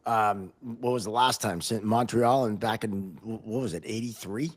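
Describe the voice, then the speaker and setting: A man hosting a podcast, chatting casually about hockey in a loose, halting way as he thinks aloud. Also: fairly low pitch. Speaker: podcast host. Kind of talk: casual sports chat.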